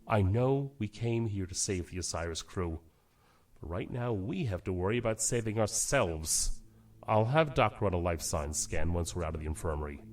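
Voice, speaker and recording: An actor playing a gruff, terse spaceship captain who gives firm orders under pressure in a science fiction audio drama. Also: male voice, sits low, expressive, moderate pace. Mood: stern, urgent. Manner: terse, authoritative.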